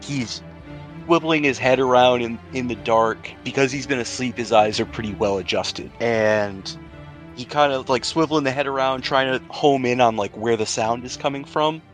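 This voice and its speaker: A man's voice: mid-range voice, a bit bright. Man narrating as game master, music behind. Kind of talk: narrating a tabletop roleplaying game.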